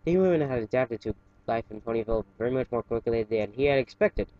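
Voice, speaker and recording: Guy reading a fanfic out loud, kinda stumbly, chats in between, mid pitched voice, muffled cheap home recording. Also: expressive, no echo. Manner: halting, stumbles and self-corrects while reading. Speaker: fan fiction reader. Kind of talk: casual amateur story reading with chatty asides.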